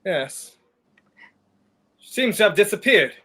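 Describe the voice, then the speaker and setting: A young man acting the earnest, determined hero of a 1930s-style radio play, a gentleman wrongly accused. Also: high voice, big pitch swings, takes it slowly, full character voice. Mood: puzzled. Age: young adult.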